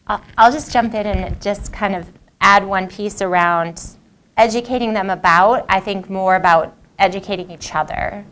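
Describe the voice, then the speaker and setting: A woman panelist in measured, collaborative tones adds a point to the discussion. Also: lowish voice, pushed and bright, clean tone.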